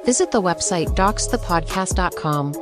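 A woman's voice: on the low side, lively intonation, moderate pace. A woman announcer delivering a scripted promotional read for a podcast. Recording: voice over clear music bed. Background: music.

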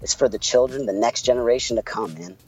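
A man with a Puerto Rican accent, co-hosting a podcast and telling stories in a relaxed, chatty way. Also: mid-range voice, fast talker, lo-fi sound. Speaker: podcast co-host. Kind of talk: casual podcast storytelling.

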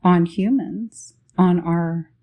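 A woman leading a guided spiritual meditation as host, speaking in short, unhurried phrases with long pauses. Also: lowish voice, dark tone.

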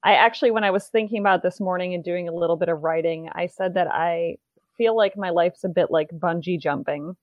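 A woman's voice: on the low side, brisk, no echo, highs cut off. A woman in her forties, a podcast guest, talking reflectively in a casual interview chat.

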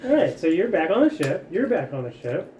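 A man's voice: a little high, big pitch swings, brisk, warm tone, distant mic, slight background noise. A man running a tabletop role-playing game as game master, describing the scene to the players in a laid-back way. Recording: roomy, from off mic.

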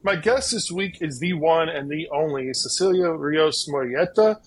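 A man's voice: on the high side, lively intonation, normal speed, a little hiss or hum. A man hosting a podcast interview, upbeat and friendly, talking with many fillers. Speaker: podcast host.

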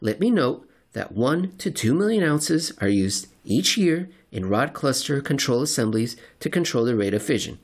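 A man reading a scripted narration in a dramatic, deliberate and wry manner. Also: medium pitch, very animated. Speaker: narrator and reader. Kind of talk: theatrical scripted narration of market report and story.

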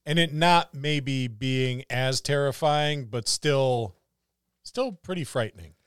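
A man's voice: medium pitch, slow pace, smooth, dry recording, studio quality. A man co-hosting a gaming podcast as a game master, discussing things casually.

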